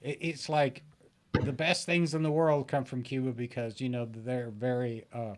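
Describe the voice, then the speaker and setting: A man hosts a live panel show in a medium-pitched voice. He is chatty and joking, and his talk is loose and full of fillers. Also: level tone, normal speed, a little hiss or hum. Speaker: show host.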